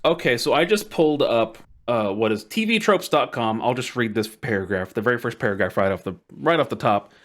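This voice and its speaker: A podcast host chatting casually and reading bits aloud, amused and explaining things in halting, emphatic phrases. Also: medium pitch, animated.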